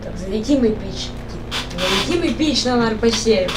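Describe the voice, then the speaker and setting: A woman's voice: fairly high pitch, lively intonation, quick pace, background noise. Rambling young woman gamer chatting. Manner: rambling, asks repeated questions. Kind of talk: casual chat while playing.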